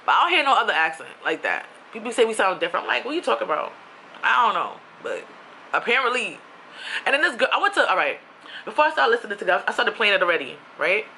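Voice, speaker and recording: A woman's voice: mid-range voice, quick pace, creaky, a little hiss or hum, low-quality recording. A young woman from New York, ranting casually on her own vlog in a chatty, slangy way. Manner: chatty, animated, with slang and asides. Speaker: YouTuber.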